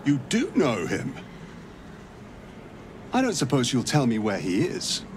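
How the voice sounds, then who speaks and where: A man playing a courteous, grave monster hunter in a video game, speaking in measured, formal, storytelling phrases. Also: medium pitch, wildly swooping pitch, noisy background. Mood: pressing, resolute. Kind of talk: dramatic video game acting.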